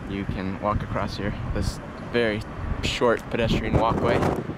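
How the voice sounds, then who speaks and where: A man with a fairly low voice narrates an informal outdoor tour. He talks haltingly and without fuss, thinking aloud as he goes. Loud wind and traffic noise surround him. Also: level tone. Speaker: vlogger. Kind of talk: casual unscripted tour narration. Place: outdoors on an open parking structure. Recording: windy outdoor audio, loud vehicle noise. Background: wind, vehicles, urban noise.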